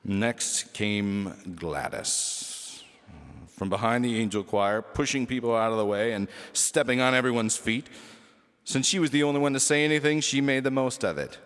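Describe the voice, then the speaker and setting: A man reading a humorous children's story aloud expressively, with dramatic pauses and emphasis. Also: mid-range voice, a bit bright, clear, resonant tone, big-room sound.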